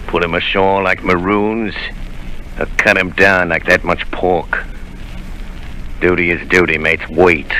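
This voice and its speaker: An actor playing a sly, scheming one-legged pirate cook in a 1930s radio drama, growling and persuasive, on an old hissy broadcast recording. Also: male voice, low-pitched, level tone, unhurried, pauses often, pushed and bright, low-quality recording. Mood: menacing.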